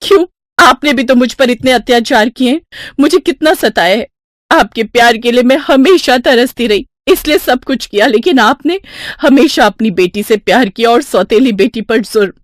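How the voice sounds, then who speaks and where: A woman's voice: on the high side, expressive, normal speed, dry recording, studio quality, voice pushed up, lightly acted. A woman voicing a wronged young stepdaughter in an audio folk tale, plaintive and emotional. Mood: hurt, reproachful. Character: meek, wronged young stepdaughter who finds courage.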